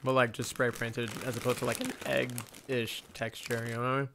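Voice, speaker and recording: A man's voice: medium pitch, little pitch movement, brisk, creaky, noisy background. Rambling young man with faint crinkling. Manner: rambling, filler-heavy, talks to himself. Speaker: movie commentary streamer. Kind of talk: casual live movie commentary. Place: at home.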